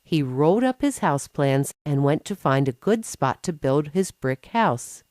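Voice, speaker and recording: A woman's voice: deep voice, animated, measured pace, darkish tone, dry recording, studio quality. A woman narrating a scripted children's bedtime story as a storyteller.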